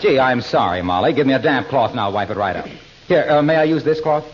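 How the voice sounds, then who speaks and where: An actor playing a genial, smooth-talking salesman friend who pitches products in an old radio comedy. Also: lively intonation, fast talker, natural voice. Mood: apologetic. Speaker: comic pitchman. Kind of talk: 1940s radio comedy acting and announcing. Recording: muffled old radio recording, faint noise.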